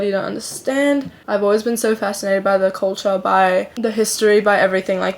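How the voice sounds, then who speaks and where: Chatty upbeat 18-year-old woman vlogging. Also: medium pitch. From Slovenia. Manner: chatty, upbeat, filler-heavy ("like"). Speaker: beauty vlogger. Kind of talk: chatty get-ready-with-me vlog.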